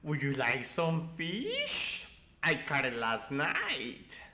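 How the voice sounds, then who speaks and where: A woman voicing a curious, polite male talking cat in an audio drama, halting and full of hums and wondering questions. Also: low voice, wildly swooping pitch, pushed and bright, a little hiss or hum, full character voice. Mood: helpful, pondering. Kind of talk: audio drama character acting.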